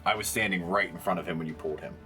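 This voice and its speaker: A man's voice: low-pitched, steady tone, rapid-fire, pushed and bright. A man talking casually as a player in a tabletop roleplaying game. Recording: music behind the voice. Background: music.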